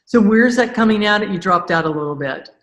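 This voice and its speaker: A woman's voice: medium pitch, expressive, fairly quick, fairly bright tone, muffled recording. A woman speaks as the host of a podcast interview. Her delivery is conversational, appreciative and encouraging toward her guest. Her voice sits in a roomy, echoey sound.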